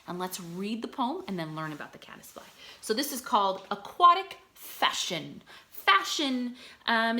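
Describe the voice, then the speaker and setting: Bright, lively woman reading aloud. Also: mid-range voice. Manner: deliberate enunciation, explains words as she goes. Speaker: teacher reading aloud to children. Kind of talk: expressive read-aloud with simple explanations.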